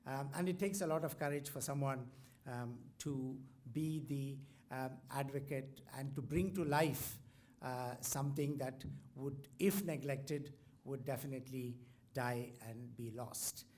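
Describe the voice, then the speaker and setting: A man's voice: mid-range voice, measured pace, darkish tone. A man from India, a native Tamil speaker, introducing a commencement lecturer in a formal speech full of ums and restarts. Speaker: university host introducing a lecturer. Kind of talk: formal speech with many fillers.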